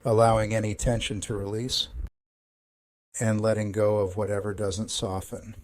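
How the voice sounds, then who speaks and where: A man leading a guided meditation as a counselor, speaking in a calm, measured, gentle instructional way with pauses. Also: on the low side, no echo.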